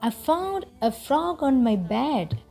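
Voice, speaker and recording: A woman voicing an excited six-year-old child in a storybook, eager and exclaiming. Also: high-pitched, takes it slowly, gravelly, breathy edge, faint music behind, pitched above the performer's own voice, full character voice.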